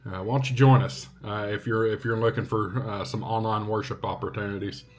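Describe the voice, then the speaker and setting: A man talking casually to viewers as a vlog host, in an unhurried, halting way with short phrases. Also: on the low side, fairly even intonation. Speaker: vlog host, ham radio hobbyist.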